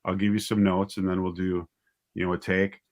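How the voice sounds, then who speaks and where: A man's voice: low-pitched, flat delivery, brisk, a little mellow. A man speaking casually as an interview guest, a writer and director thinking aloud in a hesitant, conversational way.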